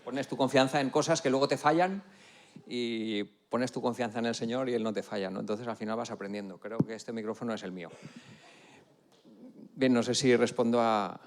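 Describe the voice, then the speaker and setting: A man's voice: medium pitch, normal speed. A man giving a reflective, conversational testimony in church.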